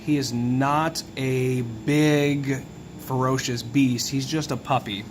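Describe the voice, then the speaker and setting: A hoarse man hosting a video, explaining in a relaxed, musing way as he walks through details one by one. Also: mid-range voice, takes it slowly, noisy background. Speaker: tarot video host. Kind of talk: casual explanatory talk.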